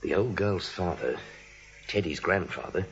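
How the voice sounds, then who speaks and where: A man's voice: sits low, animated, a little slow. A man playing a genial, talkative American police officer in a 1940s radio play. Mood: gossipy. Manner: chatty, colloquial. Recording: dull old radio recording.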